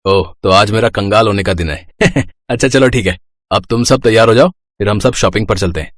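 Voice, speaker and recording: Male actor as caring elder brother in a family story, young, joking, expressive, recorded dry. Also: lowish voice, moderate pace, no room sound, lightly acted. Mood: playful, teasing. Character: caring, teasing elder brother of five sisters, working young man. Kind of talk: dramatized Hindi family story acting.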